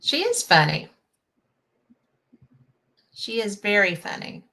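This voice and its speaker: A woman's voice: mid-range voice, huge pitch swings, slow, vocal fry, bright, forceful tone. An older woman with a Southern US accent, hosting a casual live-stream chat, chatty and folksy, laughing easily. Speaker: host.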